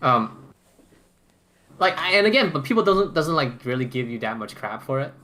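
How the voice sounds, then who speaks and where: A man in casual podcast banter, halting and repeating words as he teasingly challenges the others. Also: mid-range voice, very animated, bright, forceful tone. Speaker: podcast panelist.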